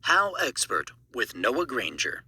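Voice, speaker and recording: Very bright, animated male narrator. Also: mid-range voice, slow, slightly rough, no room sound. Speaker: audiobook narrator auditioning. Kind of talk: scripted promotional narration.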